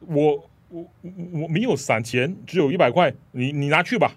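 A man's voice: on the high side, moderate pace, breathy edge, no echo. An actor playing a stubborn, proud old father in a radio drama, curt and grumpy.

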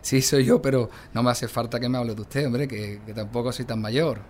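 An actor with an Andalusian accent playing a jovial, fatherly brotherhood bearer in a radio drama, hearty and quick to laugh. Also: mid-range voice, fairly even intonation, darkish tone, a little hiss or hum, natural voice.

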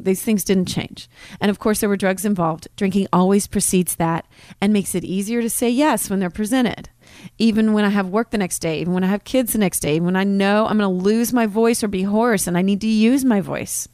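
A woman's voice: medium pitch, a little mellow, no room sound, clean studio sound. A woman in her forties hosting a podcast, talking candidly and confessionally in a personal monologue. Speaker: podcast host.

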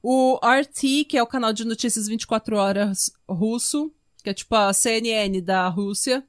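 A woman with a fairly high voice and a Brazilian Portuguese accent hosts a podcast. She tells a true-crime story in an informal way, chatting to friends and wandering into asides. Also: moderate pace. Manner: informal, conversational, full of asides. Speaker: podcast host.

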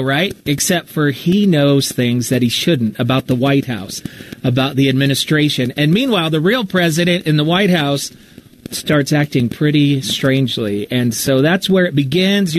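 A man with an American accent and a slightly high voice talks in a loose, informal way, like a host taking questions live. He is chatty and a little self-deprecating. There is faint noise behind him. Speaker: podcast writer and talk show host. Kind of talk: casual live chat answering questions. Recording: noisy live stream audio.

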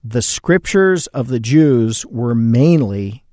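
A man hosting a religious radio segment, explaining scripture in a measured, explanatory way. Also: mid-range voice, expressive, dark tone, dry recording, clean studio sound. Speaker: radio show host.

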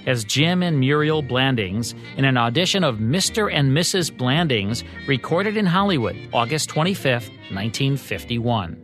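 A man's voice: medium pitch, expressive, moderate pace, hardly any pauses, a bit bright. American man hosting, scripted narration over music. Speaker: podcast co-host.

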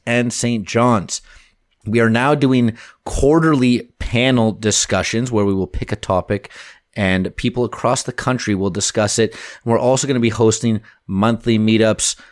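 A Canadian man co-hosting a real estate podcast as a mortgage broker, talking in a relaxed, joking way. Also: fairly low pitch, no echo, studio quality. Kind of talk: casual podcast chat.

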